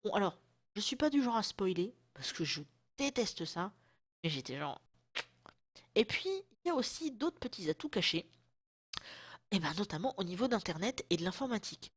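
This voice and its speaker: A woman's voice: medium pitch, big pitch swings, normal speed, long pauses, slightly rough, no room sound, clean studio sound. A woman in her thirties talking casually to camera at home, chatty and self-mocking, with a throat still sore from a cold. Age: 30s. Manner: chatty, self-mocking, loose slangy talk. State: lingering sore throat, occasional coughs. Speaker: vlogger. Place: at home, indoors.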